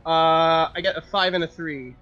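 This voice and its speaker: A man's voice: a little high, steady tone, normal speed, pushed and bright. A man chatting casually as a player in a tabletop roleplaying game, sweary and given to short exclamations. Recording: dull lo-fi sound, music behind.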